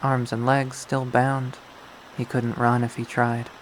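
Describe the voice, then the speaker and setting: A man's voice: medium pitch, completely flat delivery, slow, a little hiss or hum, lightly acted, rough recording. A man voicing a jaded, unhinged young woman artist in a bitter, profane, rambling monologue from a visual novel. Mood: cold, menacing. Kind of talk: dramatic visual novel readthrough.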